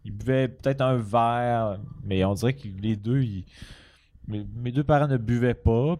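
A man's voice: mid-range voice, measured pace, dark tone. Quebec man chatting over background noise. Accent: Quebec French. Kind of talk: casual humorous chat.

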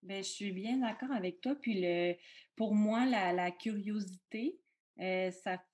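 A woman's voice: mid-range voice, measured pace, a little mellow. A woman with a Quebec French accent, hosting a podcast in a conversational, casual style. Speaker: podcast host. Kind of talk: podcast introduction and casual interview.

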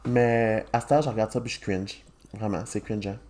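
A man's voice: on the low side, steady tone, normal speed, darkish tone. A young man in his twenties with a Québécois accent, hosting a casual podcast and telling self-mocking stories with lots of laughter. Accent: Québécois French. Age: 20s. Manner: joking, self-mocking storyteller with frequent laughs. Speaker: podcast host. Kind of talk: casual chat.

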